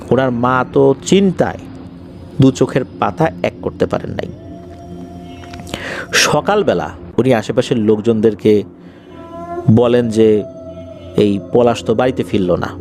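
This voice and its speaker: A man narrating a ghost story as host, in a conversational, dramatic and deliberate way that repeats phrases for suspense. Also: medium pitch, creaky, a little mellow.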